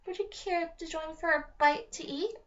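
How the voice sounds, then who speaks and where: A woman voicing a grotesque, coaxing old witch from a children's storybook, with a crackly, high-pitched voice. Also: moderate pace, a bit bright. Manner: coaxing, impatient. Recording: dull lo-fi home recording.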